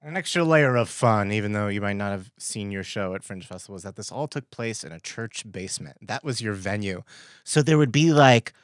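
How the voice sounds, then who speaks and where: A man's voice: on the low side, animated, a little fast, clear, resonant tone, no room sound, clean studio sound. A man hosting a podcast, chatting casually with his guests in an interview style. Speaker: podcast host.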